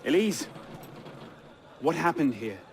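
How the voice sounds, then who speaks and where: An actor playing a young, determined but cautious assassin in a dramatic video game. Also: male voice, on the high side, very animated, slow pace, creaky, noisy background, full character voice. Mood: concerned, focused.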